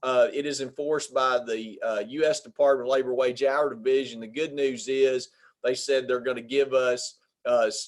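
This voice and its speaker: A man with a Southern drawl from Arkansas presents a legal webinar. His voice sits at an ordinary middle pitch. He talks in a folksy way and pauses often on filler sounds.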